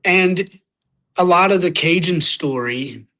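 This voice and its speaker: A man's voice: high voice, takes it slowly, bright, forceful tone. A young man from Louisiana with a Louisiana accent, a podcast guest chatting casually, rambling a little and searching for words as he talks. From Louisiana, United States. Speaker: podcast guest, singer-songwriter. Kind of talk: casual interview chat.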